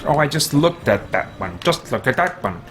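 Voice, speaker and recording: A man's voice: on the high side, big pitch swings, moderate pace, noisy background. A sleepy man live streaming games, babbling in a silly, sing-song, off-the-cuff way as he fights to stay awake.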